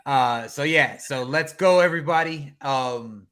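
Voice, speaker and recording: A man's voice: mid-range voice, unhurried, a bit bright. A man hosting a casual sports fan podcast, hyped and rambling with lots of fillers. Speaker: podcast host.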